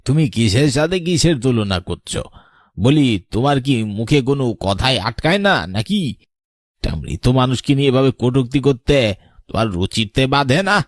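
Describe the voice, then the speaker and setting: A man's voice: mid-range voice, expressive, moderate pace, dry recording, clean studio sound, natural voice. A man voicing a weary, reproachful middle-aged husband in a cartoon drama. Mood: scolding. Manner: scolding, weary, moralising. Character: reproachful older husband, grieving father-in-law. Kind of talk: animated horror story drama dialogue.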